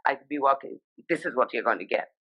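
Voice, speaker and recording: A woman's voice: rumbling low, expressive, fast talker, slightly rough, dull audio. A woman from Sikkim, India, whose first language is Nepali, talking animatedly and emphatically as an interview guest over a live video call. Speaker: guest, fashion journalist.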